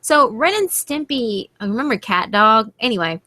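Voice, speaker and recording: A young woman hosting a casual group chat, gushing and excitable with quick exclamations. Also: on the high side, dry recording. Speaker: host.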